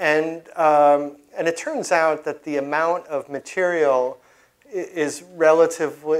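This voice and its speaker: A middle-aged man giving an informal science lecture with slides, often restarting his sentences as he explains. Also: a little high. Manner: halting, frequently restarting sentences. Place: indoor lecture room, at a podium.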